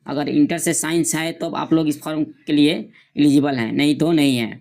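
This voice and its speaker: A man's voice: a little high, steady tone, normal speed, creaky, darkish tone. A man giving an informal screen-share tutorial, repeating himself as he reads out notice details and instructions. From India. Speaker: online centre presenter explaining job notifications.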